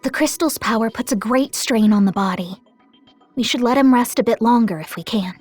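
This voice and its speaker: Game heroine woman voicing: small-sounding young deity, high voice, caring and assured, light music behind. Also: moderate pace, a little mellow, lightly acted. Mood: concerned. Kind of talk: anime video game voice acting.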